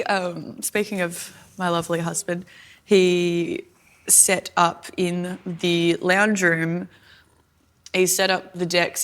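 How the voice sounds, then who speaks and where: A woman's voice: lowish voice, a bit bright. A woman in her early thirties co-hosting a podcast, chatting in a rambling, giggly way. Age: 30s. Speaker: podcast co-host. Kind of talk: casual chat.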